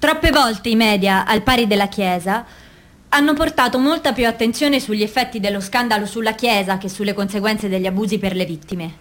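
A woman reading scripted documentary theatre narration in a measured, formal, solemn manner. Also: medium pitch, fairly bright tone, a little hiss or hum. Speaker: reader in a radio theatre piece.

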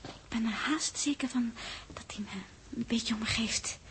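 A woman's voice: a little high, normal speed, fairly bright tone, slight background noise. A woman with a Netherlands Dutch accent playing a coy, probing young crew member in a 1960s radio drama, with hesitant asides and pointed questions. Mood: hushed, confiding. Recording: muffled 1960s radio recording.

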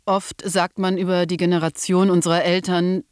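A woman's voice: fairly low pitch, little pitch movement, normal speed, a little mellow. A woman narrates personal recollections for a radio piece. Her manner is reflective.

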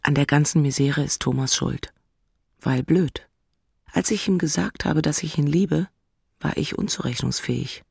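A woman's voice: deep voice, normal speed, gravelly, a little mellow, breathy edge, no room sound, clean studio sound, full character voice. An actress playing an exasperated, lovesick single city woman in a radio play, narrating with blunt, self-mocking asides.

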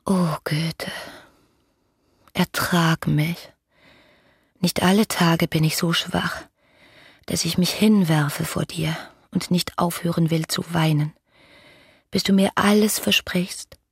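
An actress playing an ardent young woman in love, narrating her letters in a breathy, dreamy voice in a German radio play. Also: on the low side, fairly even intonation, slow, pauses often, full character voice. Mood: yearning. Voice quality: breathy, often near whispering.

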